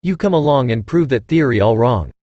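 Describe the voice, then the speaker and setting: Male narrator, mid-range pitch, dry sound, reproachful scripted accusations. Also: lively intonation, fairly quick, mellow, no echo, muffled recording. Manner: reproachful, rhetorical.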